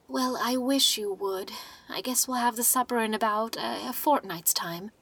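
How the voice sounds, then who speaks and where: A woman's voice: fairly high pitch, moderate pace, fairly bright tone, husky, dry recording. A woman voicing a gossipy, opinionated middle-aged village matron who chatters on. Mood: chatty.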